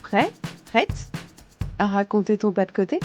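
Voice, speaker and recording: A woman's voice: mid-range voice, big pitch swings, measured pace, over loud music. A woman hosting a podcast, reading a friendly, direct welcome to the listener. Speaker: podcast host. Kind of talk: scripted podcast intro and interview questions.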